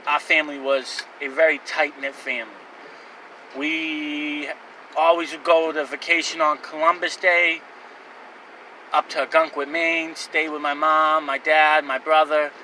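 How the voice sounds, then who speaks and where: A young man in his twenties speaks his life story in a loose, rambling way, his voice very bright and a little high. He sits some distance from the mic, with faint outdoor and traffic noise behind him. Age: 20s. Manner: rambling, candid, with fillers and restarts. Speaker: vlogger. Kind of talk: personal life story to camera.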